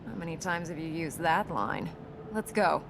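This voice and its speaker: An actress playing a serious, resolute young princess in a video game, measured and matter-of-fact. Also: female voice, low-pitched, expressive, gravelly. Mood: grave explaining. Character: solemn, resolute young princess guiding an adventurer. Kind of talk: video game character acting. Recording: noisy game capture with ambience.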